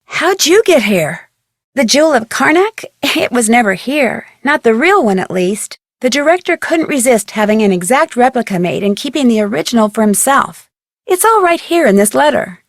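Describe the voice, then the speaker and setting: A young American woman playing an inquisitive, plucky amateur detective in an adventure game, speaking earnestly and expressively with clear enunciation. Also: on the high side, very animated, moderate pace, no room sound, clean studio sound. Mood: curious, questioning. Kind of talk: scripted adventure game voice acting.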